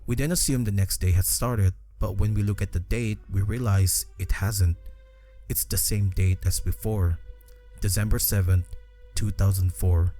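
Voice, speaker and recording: A man's voice: lowish voice, fairly even intonation, normal speed, dark tone, faint music behind. A man reading scripted narration that retells a horror game story for a video essay.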